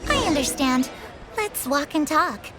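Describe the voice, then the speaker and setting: An actress playing a polite, smooth-talking antique seller in a video game, courteous and flattering. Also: female voice, high-pitched, measured pace, slight background noise, exaggerated voice. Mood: businesslike. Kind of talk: video game character acting.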